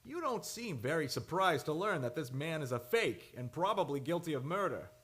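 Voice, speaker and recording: A man's voice: a little high, very animated, normal speed, a bit bright. A man acting in an old-time radio drama as a brusque, suspicious sheriff with probing questions. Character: blunt, suspicious sheriff investigating a murder.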